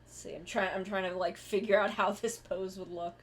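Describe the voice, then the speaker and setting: A woman's voice: medium pitch, fairly even intonation, a little fast, fairly bright tone. A woman streamer chatting casually while she draws, rambling and correcting herself mid-sentence, with the odd swear. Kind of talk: casual chat while drawing.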